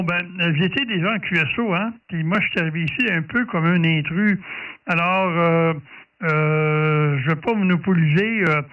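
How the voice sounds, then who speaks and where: A man with a Quebec French accent chatting casually and hesitantly as an amateur radio operator over a radio link. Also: a little high. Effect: ham radio transmission. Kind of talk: casual ham radio exchange. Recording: distant, muffled shortwave radio signal.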